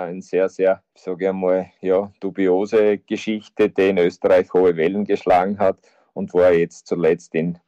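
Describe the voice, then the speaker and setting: Austrian guy in his 50s, low dark voice, flat delivery, bit muffled, lots of ähs. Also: no room sound. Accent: Austrian German. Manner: halting, many fillers and repeated words. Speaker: football coach, podcast guest. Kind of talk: casual interview talk. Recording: dull lo-fi sound.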